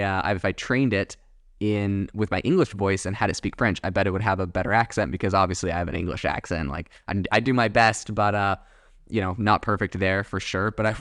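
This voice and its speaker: A man's voice: lowish voice, dry recording, clean studio sound. A young man hosting a solo podcast, chatting casually in a rambling way with many fillers and asides. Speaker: podcast host.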